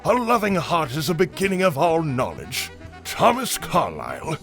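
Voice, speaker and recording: A man acts out an idealistic older scientist in a comic dub. The voice is creaky and very animated in pitch, yet measured and formal in delivery. Music is clearly audible underneath. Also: heavily acted. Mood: thoughtful. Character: kindly, idealistic older male robot scientist. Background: prominent music.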